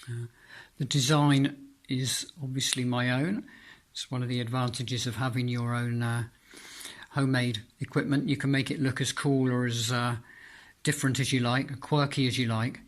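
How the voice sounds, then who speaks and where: A man with a mid-pitched voice talks through a homemade hobby project in an informal video. His delivery is hesitant and piecemeal, with frequent pauses as he explains things. He is speaking indoors in a flat. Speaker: amateur radio hobbyist presenting own homemade antenna. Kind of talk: informal product demonstration vlog.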